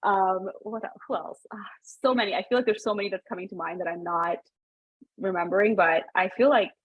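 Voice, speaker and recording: A woman's voice: mid-range voice, a little fast, breathy edge, no room sound, poor audio. A woman in her thirties, born in Sri Lanka and raised in Canada, chatting casually as a podcast guest, with lots of fillers and restarts. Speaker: podcast guest, business founder. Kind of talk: casual interview chat.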